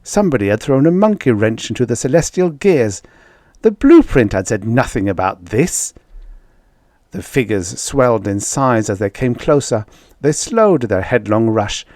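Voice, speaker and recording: A man with a fairly low voice narrates a science fiction audiobook. His delivery is measured and dramatic, leaning on key words for emphasis. The sound is dry and close, with little room in it. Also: darkish tone, no room sound. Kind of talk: scripted narration of vintage science fiction stories.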